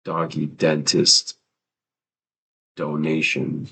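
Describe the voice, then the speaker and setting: Low-voiced man rambling on a livestream. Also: flat delivery, very slow, gravelly, bright, forceful tone, breathy edge. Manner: rambling, casual and profane, many fillers and restarts. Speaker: livestream host. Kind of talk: casual livestream chat.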